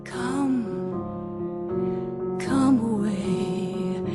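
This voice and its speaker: A woman in a radio drama performing a mournful old lament as slow song. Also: fairly high pitch, loud music behind the voice.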